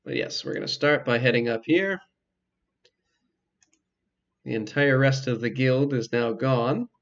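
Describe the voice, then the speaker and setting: A man giving casual, explanatory let's play commentary, with hesitant pauses as he goes. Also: mid-range voice, muffled recording. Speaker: video game player and commentator.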